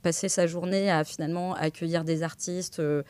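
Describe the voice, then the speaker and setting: Deep-voiced woman, halting interview guest. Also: steady tone, darkish tone, smooth, no echo. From western France. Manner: halting, searching for words. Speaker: interview guest, director of a digital arts association. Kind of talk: informal interview answers.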